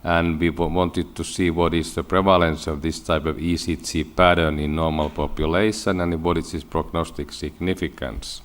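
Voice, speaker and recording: A man from Finland presents research at a medical conference in a low-pitched voice. He has a Finnish accent and speaks haltingly, often restarting and repeating words as he explains. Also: level tone. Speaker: medical researcher giving a lecture.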